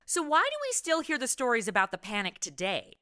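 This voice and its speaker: A woman's voice: a little high, wildly swooping pitch, brisk, sharp tone, smooth. A woman hosting a podcast, putting scripted but conversational questions to a guest in an inquisitive way. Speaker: podcast host interviewing a guest.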